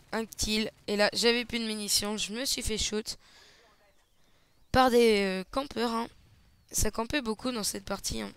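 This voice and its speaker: A man giving casual, hesitant play-by-play commentary over a shooter match, slightly hoarse, with lots of fillers. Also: high voice, a bit bright. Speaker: gaming channel host. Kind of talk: gameplay commentary.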